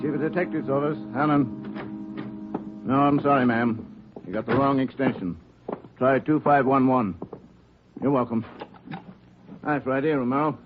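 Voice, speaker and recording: A man's voice: medium pitch, a little slow, pauses often, natural voice. Muffled, courteous police desk man acted. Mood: businesslike. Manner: courteous, businesslike. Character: polite male police office desk man. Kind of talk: 1950s radio police drama acting. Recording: muffled vintage radio recording, music behind.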